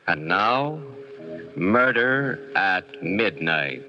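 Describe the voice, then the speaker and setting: An actor as a solemn, theatrical announcer narrating an old radio mystery in an ominous manner. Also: male voice, low voice, big pitch swings, very slow, heavily acted. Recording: old muffled radio recording, music behind.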